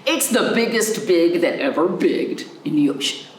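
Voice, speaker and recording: A woman's voice: lowish voice, lively intonation, normal speed. A woman children's librarian chatting to young children, explaining things simply and asking the listener questions. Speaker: children's librarian hosting virtual storytime. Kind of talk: storytime talk to young children. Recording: roomy echo, clear background noise.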